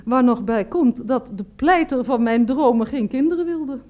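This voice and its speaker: Housecleaner, high voice, very lively intonation, breezy, confiding, mock-dignified, radio comedy drama. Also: female voice, moderate pace, narrow, tinny audio. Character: chatty, affronted housecleaner who was once a lawyer. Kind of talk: satirical Dutch radio play acting.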